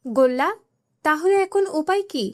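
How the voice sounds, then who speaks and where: A woman's voice: high voice, expressive, moderate pace, a little mellow. A woman voicing a bewildered, chiding village family member in a comic cartoon. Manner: astonished, questioning.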